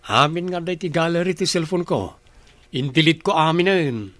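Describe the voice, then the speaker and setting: A man's voice: a little high, lively intonation, moderate pace, a bit bright, dry recording. A man acting in an Ilocano radio drama as a newly confident young grandson. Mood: resolute, bitter. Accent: Filipino, Ilocano. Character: young grandson, newly confident, bitter about past looks.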